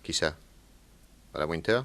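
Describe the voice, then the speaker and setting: A very deep male voice, that of a shrewd private detective in a 1960s radio serial. He is self-assured and wry, probing each point methodically. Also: measured pace, fairly bright tone. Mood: stern, probing. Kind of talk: 1960s French radio crime drama acting.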